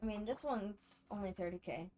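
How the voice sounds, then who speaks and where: A woman's voice: medium pitch, normal speed, mellow, slight background noise. A woman livestreamer giving casual, chatty gameplay commentary to her viewers, speaking off the cuff. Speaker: game livestreamer.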